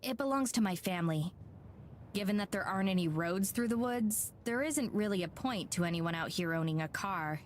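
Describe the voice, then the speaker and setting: A woman's voice: mid-range voice, animated, moderate pace, a little hiss or hum, lightly acted. An actress playing a reserved, polite young woman in a visual novel, composed and understated, as she hosts visitors. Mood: matter-of-fact. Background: game music.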